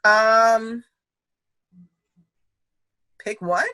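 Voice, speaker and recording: Young woman guest, bright voice, slangy and animated. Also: fairly high pitch, drawn-out words, no echo. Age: young adult. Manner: animated, laughs often, slangy. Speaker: podcast guest. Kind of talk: casual chat.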